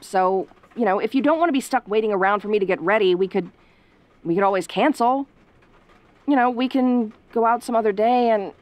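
A woman acts out a tsundere tomboy in an audio roleplay. She is sassy and easily flustered, talking casually and defensively, with sarcasm that covers her softer side. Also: fairly high pitch, dry recording, natural voice. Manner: casual, defensive, sarcastic, hesitant when sentimental.

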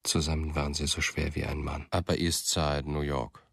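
A man's voice: a bass voice, little pitch movement, normal speed, creaky, fairly bright tone, dry recording, studio quality, heavily acted. An actor playing a brooding, self-doubting male writer and storyteller in a German radio play.

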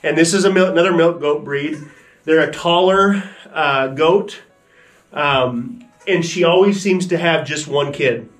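A man in his forties talks through life on his ranch in a relaxed, matter-of-fact way, standing in a wooden barn. His voice sits a little high for a man. Faint music plays underneath. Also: unhurried, poor audio. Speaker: ranch owner and vlogger. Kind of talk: casual explaining to camera. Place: wooden barn goat pen.

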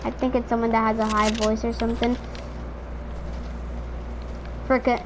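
A woman's voice: a little high, little pitch movement, fast. A woman chatting casually while playing an online shooter in a squad, rambling with lots of fillers. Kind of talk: casual gaming chat. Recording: noisy game audio behind.

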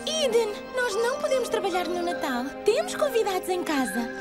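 A woman's voice: very high voice, big pitch swings, brisk. A woman with a European Portuguese accent voicing an anxious, pleading young theatre worker in a cartoon dub. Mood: protesting. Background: loud music.

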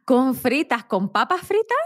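A woman's voice: high voice, sing-song, unhurried, no echo, clean studio sound. A woman with a Chilean accent hosting a podcast as a casual, playful conversation, laughing often. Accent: Chilean Spanish. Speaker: podcast host.

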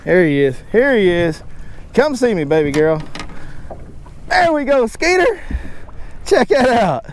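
A man in his thirties casually narrating a fishing trip from a boat, folksy and excitable, talking to his dog and the fish. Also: sits high, unhurried, pauses often, slight background noise. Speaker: fishing guide and channel host. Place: outdoors on a boat on a lake.

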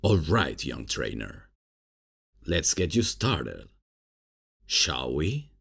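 Man acting as cocky slacker professor, husky gravelly voice, very slow delivery, ASMR comedy roleplay. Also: extremely low pitch, sing-song, a bit bright, exaggerated voice. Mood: self-assured. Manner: casual, slow, hesitant improvising. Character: laid-back, unprofessional male substitute professor, cocky and casual. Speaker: roleplay performer.

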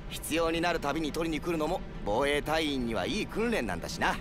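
An actor playing a brash, easygoing young soldier in a Japanese video game, with a rough, casual, confident way of talking. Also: male voice, fairly high pitch, lively intonation, fairly quick, noisy background, natural voice. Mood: cheerful, cocky. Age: young adult.

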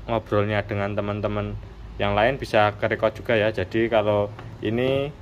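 A man's voice: lowish voice, level tone, normal speed, muffled recording. A man giving a casual hands-on tutorial, explaining step by step in an informal, repetitive way. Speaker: motorcycle accessory shop presenter. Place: indoors at a wooden workbench. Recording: clearly noisy room with background hum.

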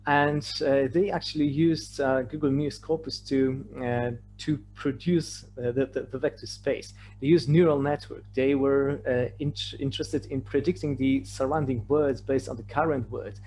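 Male presenter, native Polish with Polish accent, mid-pitched, halting lecture delivery, slightly noisy online recording with hum. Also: fairly even intonation, a little mellow. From Poland. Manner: hesitant, repeats words and stammers over phrase starts. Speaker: conference presenter. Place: remote online presentation with shared screen.

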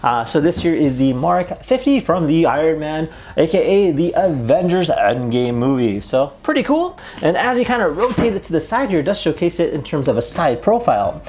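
A man's voice: on the high side, very animated, moderate pace, few pauses, darkish tone, a little hiss or hum. A young adult man presenting a casual product unboxing and review in an upbeat, conversational way, indoors in a small room. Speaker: host of an unboxing and review video.

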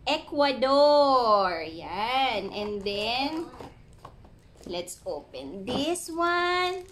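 Filipino lady vlogging in her living room, bright voice, faint hum behind. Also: a little high, big pitch swings, extremely slow. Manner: chatty, reads product text aloud with small slips. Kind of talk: casual unboxing vlog mixing English and Tagalog. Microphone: camera in front at arm's length.

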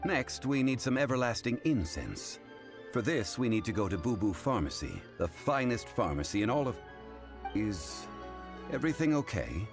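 Refined, formal gentleman with expressive swings. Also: medium pitch, music in the background. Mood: courteous. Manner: formal, measured, courteous. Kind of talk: video game character acting.

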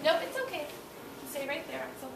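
A woman's voice: high voice, lively intonation, brisk, vocal fry. A young woman teaching small children in a classroom, encouraging them and giving simple, short instructions. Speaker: elementary school teacher. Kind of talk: classroom read-aloud lesson for young children. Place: school classroom, indoors. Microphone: far camera. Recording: echoey classroom, distant camera, loud room noise.

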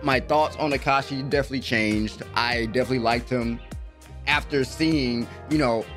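A man's voice: fairly high pitch, a bit bright. A young man giving casual, slangy, exclamatory reaction commentary, recorded close on a desk microphone in a small bedroom. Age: young adult. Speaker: anime reaction host. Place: small bedroom streaming setup. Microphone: desk microphone close in front.

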